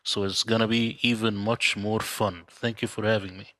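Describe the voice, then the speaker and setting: A man from the Middle East, a native Arabic speaker, who talks in an earnest, measured way. He is an interview guest joining over a video call. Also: lowish voice, level tone, a bit bright.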